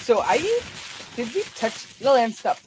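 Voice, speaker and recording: A woman's voice: on the high side, unhurried, darkish tone, slight background noise, low-quality recording. A middle-aged woman with a Norwegian accent, a native Norwegian speaker, hosting a casual livestream and thinking aloud with fillers and restarts. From Norway. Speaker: streamer unboxing a laptop.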